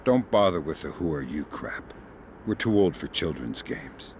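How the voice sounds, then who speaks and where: An actor playing a calm, middle-aged American covert operative in a video game, speaking in a dry, deadpan way. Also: male voice, very deep voice, normal speed, slight background noise. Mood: calm, persuasive.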